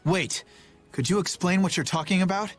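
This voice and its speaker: A courteous young knight commander in an anime game, played by a man. His lightly high voice is polite, earnest and measured, with quiet music behind. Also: close to an everyday voice. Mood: friendly. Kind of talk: English dub of anime role-playing game.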